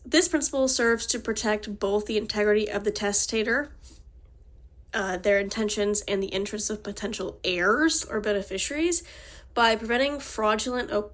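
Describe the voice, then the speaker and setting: A woman's voice: medium pitch, normal speed. Woman with a cold, slightly nasal. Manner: reads formal text with small slips and restarts. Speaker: student presenter. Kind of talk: reading a prepared class presentation.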